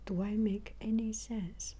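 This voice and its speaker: An older woman speaks in a soft-edged, mellow voice that is breathy and close to a whisper. She moves slowly through her reflective vlog narration. She often halts, searching for words and repeating a phrase before going on. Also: mid-range voice, level tone. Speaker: travel vlogger.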